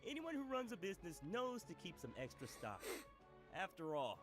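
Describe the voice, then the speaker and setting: A man's voice: high voice, wildly swooping pitch, normal speed, over music. An actor playing a jovial, generous male food merchant who chuckles and teases. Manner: chuckling, good-humored, teasing.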